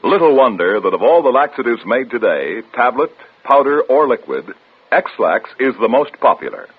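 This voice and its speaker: Male announcer, vintage radio commercial, emphatic, animated intonation, phone-like old recording. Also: mid-range voice, normal speed, breathy edge. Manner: emphatic sales delivery. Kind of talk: vintage radio advertisement, scripted.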